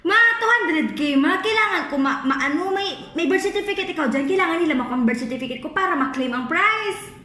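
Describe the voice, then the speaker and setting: Very high-pitched, bright Filipino woman. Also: fairly quick. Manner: excitable, giggly. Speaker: prank vlogger. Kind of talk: casual prank-call chat. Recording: echoey room with faint background noise.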